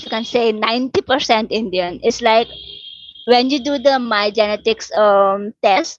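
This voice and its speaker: A woman in her twenties from Suriname, whose first language is Dutch, chatting casually with viewers, unhurried and with many fillers and restarts. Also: medium pitch, dry recording, lo-fi sound. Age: 20s. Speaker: livestream host answering viewer questions. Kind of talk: casual chat.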